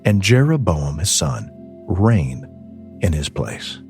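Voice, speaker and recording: Man, low voice, expressive intonation, scripted Bible narration, solemn and measured, soft ambient music behind. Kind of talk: scripted audiobook Bible reading.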